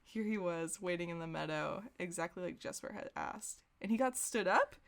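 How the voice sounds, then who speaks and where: A woman's voice: on the low side, moderate pace, creaky. A woman podcast host reading fan fiction aloud for comedy, prone to giggling and dropping in her own asides. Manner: giggly, breaks into laughter while reading.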